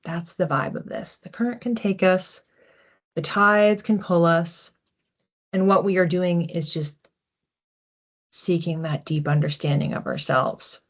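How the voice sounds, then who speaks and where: A woman hosting an informal psychic card reading in a conversational, reflective way, with animated asides. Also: lowish voice, unhurried. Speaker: psychic card reader, host.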